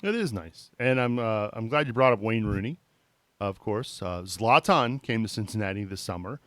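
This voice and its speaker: A Midwestern man hosting a fan podcast, his pitch swinging up and down as he talks. He cracks jokes and keeps things moving. The recording is dry and close. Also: moderate pace, dry recording. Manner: jokey, lively, wraps up segments. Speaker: podcast host. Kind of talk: casual chat.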